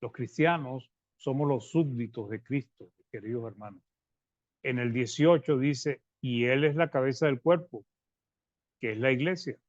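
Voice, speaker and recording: A man preaching a Bible-study sermon, reading verses and explaining them, calling his listeners brothers, with halting restarts and phrase ends that fade quietly. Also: mid-range voice, no echo. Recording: dry, slightly dull sound.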